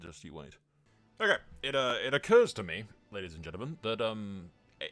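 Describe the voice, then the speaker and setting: A man giving casual commentary on a roleplay strategy game, animated and irreverent, with sweary asides. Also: fairly low pitch. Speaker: gaming video narrator.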